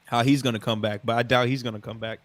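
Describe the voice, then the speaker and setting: A man's voice: mid-range voice, steady tone, fast, no room sound. A man co-hosting a casual sports debate, blunt and slangy, talking into a home condenser mic.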